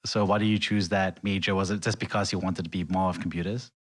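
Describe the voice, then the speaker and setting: A man's voice: sits low, fairly even intonation, fast, slightly rough. A man hosting an interview podcast, asking curious, upbeat questions. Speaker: podcast host.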